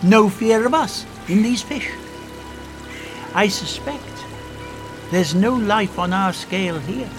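A man's voice: high voice, animated, slow pace, long pauses, music in the background, heavily acted. An actor playing an old male time traveller in an audio drama, musing and lecturing with little hums.

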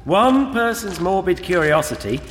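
An actor playing a curious, sceptical young space traveller in an audio drama, with a wry, questioning manner. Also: male voice, high-pitched, very animated, moderate pace, fairly bright tone, a little hiss or hum. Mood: wry, matter-of-fact.

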